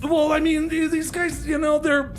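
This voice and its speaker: Man playing a high-pitched, gravelly, stammering mechanic. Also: flat delivery, moderate pace, faint music behind. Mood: boastful, rambling. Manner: stammering, hesitant, scattered, repeating words. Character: flustered, overconfident male time machine mechanic dressing up punk. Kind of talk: comedy radio theater.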